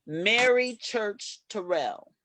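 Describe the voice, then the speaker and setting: A woman playing resolute, dignified women suffragists in a reader's theater, speaking in emphatic, deliberate oratory with dramatic pauses. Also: medium pitch, lively intonation, fairly bright tone, a little hiss or hum. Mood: grave, indignant.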